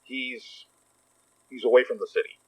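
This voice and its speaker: A man acting a calm, terse, guarded investigator leading a team in a naturalistic audio drama. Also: mid-range voice, moderate pace, breathy edge, lo-fi sound, natural voice.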